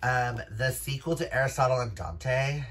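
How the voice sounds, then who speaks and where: A man's voice: medium pitch, normal speed, a bit bright. A man chatting casually to viewers as a book vlogger, gushing and emphatic, with casual swearing. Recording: noisy room background.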